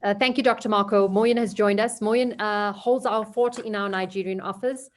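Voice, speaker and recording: A woman's voice: mid-range voice, steady tone, normal speed. A woman moderating an online business panel, talking loosely and hunting for words as she introduces speakers. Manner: loose and conversational, hunts for words.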